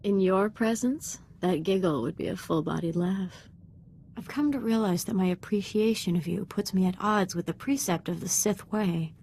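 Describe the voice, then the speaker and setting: A woman's voice: mid-range voice, moderate pace, a little mellow, slight background noise, natural voice. A young woman acting as a devoted, fervent Sith apprentice in a video game, speaking in an earnest, deferential and articulate way.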